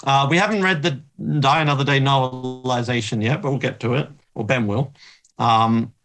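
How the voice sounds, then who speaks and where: A man's voice: mid-range voice, normal speed, fairly bright tone, faint music behind, poor audio. A man hosting a podcast, chatting casually about books. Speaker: podcast host.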